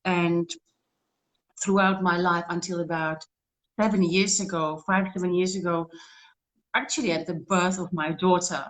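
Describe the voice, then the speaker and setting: A woman's voice: on the low side, fairly even intonation, normal speed, pauses often, poor audio. A woman, a guest on a video call, talking earnestly and rambling with frequent restarts. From Czech Republic. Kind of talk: casual interview talk.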